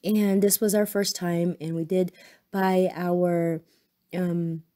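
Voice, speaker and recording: A hoarse woman with a cold talks through her crafting project in a casual voice-over, pitched a little low with a dark, mellow tone. She rambles, stops to correct herself and fills gaps with ums. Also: level tone, takes it slowly. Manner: chatty, rambling with frequent ums and self-corrections. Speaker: crafting channel host.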